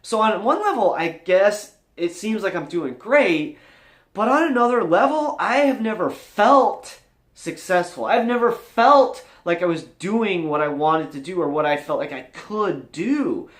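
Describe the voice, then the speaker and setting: A man's voice: high voice, animated, measured pace, fairly bright tone, roomy sound. A man talking candidly to viewers as a vlog host, earnest, confessional and emphatic, in a motivational style.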